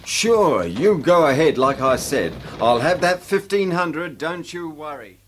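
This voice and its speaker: Animated English working-class husband. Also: male voice, a little high, measured pace, a little hiss or hum. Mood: assuring. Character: aggrieved working-class husband, eager for money. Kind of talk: BBC radio drama acting.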